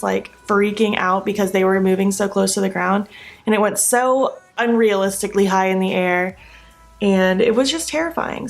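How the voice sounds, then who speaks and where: A woman's voice: medium pitch, gravelly. A young woman vlogger chatting casually while doing her makeup, sleepy-voiced and rambling with lots of filler likes. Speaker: beauty vlogger. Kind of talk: casual get-ready-with-me chat.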